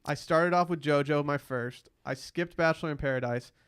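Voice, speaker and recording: Higher-voiced man hosting a casual chat. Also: moderate pace, fairly bright tone, clean tone, no room sound, clean studio sound. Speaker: podcast host. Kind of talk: casual chat about a reality TV show.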